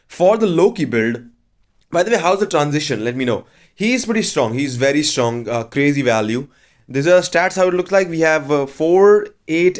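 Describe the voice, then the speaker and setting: Man with a cold narrating gameplay. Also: mid-range voice. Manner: chatty, blunt, offhand verdicts. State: has a cold. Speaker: gaming channel host. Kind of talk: casual game walkthrough commentary.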